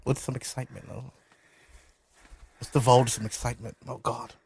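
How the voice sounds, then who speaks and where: A man's voice: mid-range voice, flat delivery, moderate pace, creaky. A man hosting a podcast in a studio. He chats loosely and profanely while drinking, brash and provocative, and laughs often. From Brooklyn, New York. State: drinking alcohol while recording. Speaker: podcast host. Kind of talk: loose, profane casual chat.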